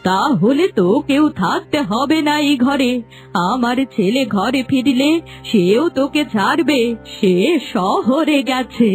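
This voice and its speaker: A woman playing a harsh, domineering older mother-in-law in an animated folk story. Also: sits high.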